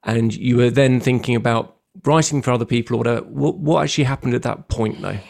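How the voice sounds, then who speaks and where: Gravelly radio host, effusive. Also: male voice, mid-range voice, quick pace. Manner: warm, effusive, many fillers and restarts. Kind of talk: radio interview.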